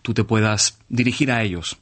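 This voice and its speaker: A man hosting a radio interview in a conversational, measured way with deliberate phrasing. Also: on the low side, fairly bright tone. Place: radio studio.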